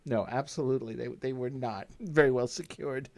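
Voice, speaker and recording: A man's voice: medium pitch, moderate pace. An American man co-hosting a podcast, casually chatting through a recap of an old TV episode. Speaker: podcast co-host.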